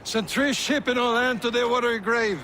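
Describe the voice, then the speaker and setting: A brash male naval captain in a game cutscene, full of boasting. The voice is high and forceful over faint noise. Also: moderate pace. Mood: boastful. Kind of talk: video game cutscene acting.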